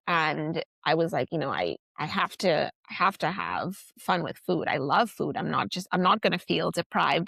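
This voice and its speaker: Podcast guest: a woman from Trinidad and Tobago, low and gravelly, casual and reflective. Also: fairly bright tone, dry recording. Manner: chatty, many "like" fillers and self-restarts. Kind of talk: casual reflective interview talk.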